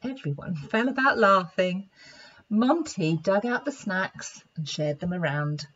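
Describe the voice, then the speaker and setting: A woman's voice: mid-range voice, lively intonation, measured pace, fairly bright tone. A woman narrating a children's story expressively, in a slightly dull recording. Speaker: storybook reader, play therapist.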